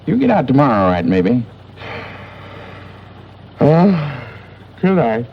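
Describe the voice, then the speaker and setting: A man's voice: mid-range voice, sing-song, slow pace. An actor playing a plain-spoken small-town man in 1940s radio style, with folksy, clipped phrasing. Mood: gruffly friendly. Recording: muffled, noisy 1940s radio recording.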